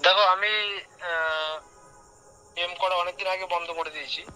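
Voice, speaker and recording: A man's voice: high-pitched, steady tone, normal speed, pushed and bright, faint music behind, low-quality recording. A man in a casual phone conversation, questioning the other person insistently. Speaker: prank call recipient.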